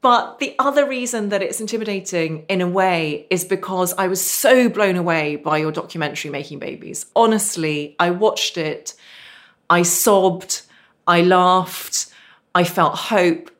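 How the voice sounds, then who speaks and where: Woman podcast host, lowish voice, measured and articulate, earnest interviewer. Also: a bit bright. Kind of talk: scripted introduction then interview.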